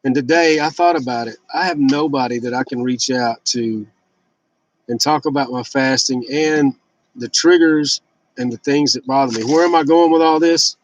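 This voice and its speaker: A man's voice: medium pitch, moderate pace, muffled recording. A man in his fifties talking casually and candidly in a rambling, blunt, confessional personal vlog. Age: 50s. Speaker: vlogger sharing fasting and fitness journey.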